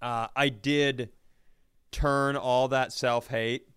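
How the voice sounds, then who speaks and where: A man with a smooth, clear voice and a fairly middle pitch, recorded close and dry. He tells stories casually for laughs, profane and hard on himself, like a comedy podcast host. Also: flat delivery, extremely slow, fairly bright tone, dry recording. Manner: self-deprecating, profane. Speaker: comedy podcast host telling a personal story.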